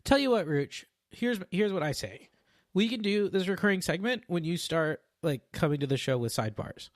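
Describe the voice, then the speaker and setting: A man's voice: sits high, lively intonation, normal speed, no echo. A man co-hosting a podcast in casual chat, teasing the others with dry humor. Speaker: podcast co-host.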